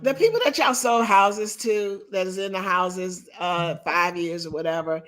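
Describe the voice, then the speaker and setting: A woman, coaching real estate agents in an animated, emphatic way. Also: on the low side, moderate pace. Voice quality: raspy. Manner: animated, emphatic, talks straight to the audience. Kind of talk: live online coaching session.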